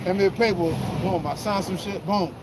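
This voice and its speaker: A man's voice: high voice, normal speed. A man telling a personal story in casual street talk during a group discussion, full of "or whatever" asides. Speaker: discussion participant. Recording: loud wind noise outdoors.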